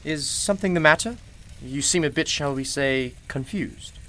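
A man's voice: a little high, normal speed, bright, forceful tone. A man playing a genial, mysterious old-fashioned shopkeeper in a radio play, with formal, courtly and unflappable phrasing. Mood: solicitous.

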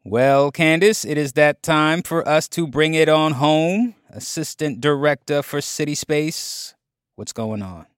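A man's voice: a little high, a little slow, clean tone, dry recording, clean studio sound. A man hosting a radio podcast, with an easygoing, jokey manner. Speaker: podcast host. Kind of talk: casual radio chat.

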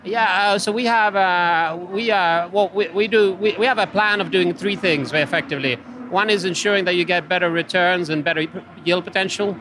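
A man with a Finnish accent and a rather high voice answers questions hesitantly, his sentences full of filler sounds. He is recorded outdoors at a racetrack, with clear noise all around him. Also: brisk, bright, forceful tone. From Finland. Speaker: interview guest, company executive.

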